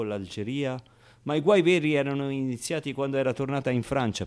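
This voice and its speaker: A man's voice: mid-range voice, a little mellow, natural voice. A man playing a naive, streetwise ten-year-old orphan boy in a colloquial theatrical radio monologue. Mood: frank, reflective. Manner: colloquial, ungrammatical, with interjections and rhetorical asides.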